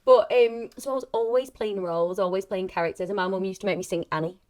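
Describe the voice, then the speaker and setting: A woman's voice: mid-range voice, fast, darkish tone. A woman, an actress talking candidly and informally in a podcast conversation. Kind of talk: casual chat.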